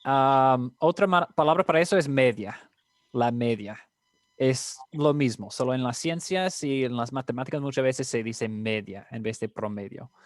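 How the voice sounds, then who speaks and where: A man's voice: medium pitch, no room sound. A man tutoring in Spanish, often checking in with "right?" and mixing in fillers. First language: English. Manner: mixes English fillers into Spanish, frequent "right?". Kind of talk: casual online tutoring.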